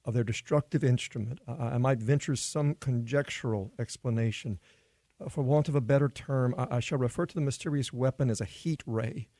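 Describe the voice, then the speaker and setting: A man playing a cautious, scholarly professor in a radio play, speaking in a halting, pedantic way with stammered restarts. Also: medium pitch, warm tone, no echo, close to an everyday voice. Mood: cautious, pondering. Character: hesitant, scholarly male scientist, cautious professor. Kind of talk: radio play acting, scientific explanation.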